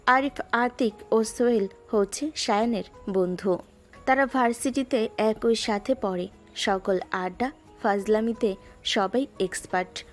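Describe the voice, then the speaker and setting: A woman narrating a romantic audio story in a measured, lyrical way. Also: a little high, faint music behind.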